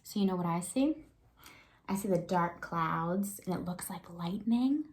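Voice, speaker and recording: A woman's voice: on the low side, lively intonation, unhurried. A woman, an elementary teacher giving a read-aloud lesson to young children and thinking aloud with prompting questions.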